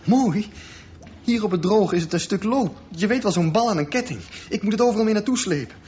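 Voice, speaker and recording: An intense teenage boy, dreamy and insistent, in a radio drama. His voice sits slightly high for a man. The recording is muffled, with some noise behind it. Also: animated, fairly quick, a little mellow. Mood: earnest, insistent. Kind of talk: Dutch radio drama acting.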